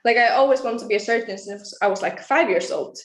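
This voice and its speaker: A woman's voice: a little high, quick pace, roomy sound. An eighteen-year-old woman from Iceland, a pageant contestant answering interview questions earnestly and laughing often. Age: young adult.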